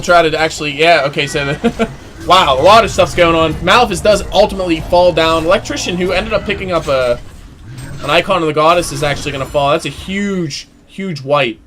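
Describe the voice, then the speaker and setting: Excitable young man casting a game. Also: sits high, a little hiss or hum. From United States. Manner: excitable, rising loud during fights, chatty asides. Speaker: esports play-by-play caster. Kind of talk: live game commentary.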